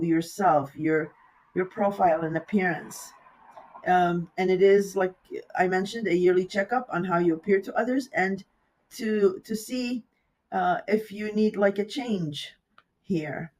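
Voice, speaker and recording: An astrologer with a raspy, hoarse voice from a cold, talking through a horoscope in a loose, rambling way, with the audio sped up. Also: mid-range voice, low-quality recording. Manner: rambling, talks loosely with asides. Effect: audio sped up in editing. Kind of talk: casual horoscope reading.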